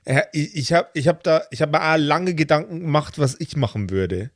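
A man in a reflective podcast discussion, speaking in a halting, analytical way. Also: fairly high pitch, dry recording, studio quality.

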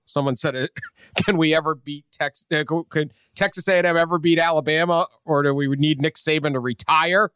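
A man's voice: on the high side, steady tone, fairly quick, fairly bright tone, clear, resonant tone, dry recording, studio quality. A man with a Boston accent hosting a sports podcast in casual, joking chat. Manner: joking, sardonic. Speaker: podcast host.

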